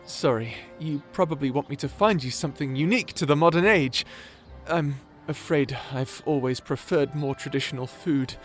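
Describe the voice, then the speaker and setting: A man's voice: on the high side, normal speed, few pauses, faint music behind, close to an everyday voice. A man playing a shy, nerdy young wizard in a fantasy audio roleplay, stammering, bashful and apologetic. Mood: bashful, polite. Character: shy nerdy young male wizard, flustered, eagerly polite.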